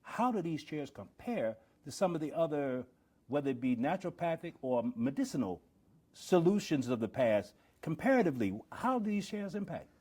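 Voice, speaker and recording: A man's voice: on the high side, very animated, moderate pace, a little mellow. A man hosting a podcast interview, encouraging his guest and asking long, wordy questions. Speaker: podcast host.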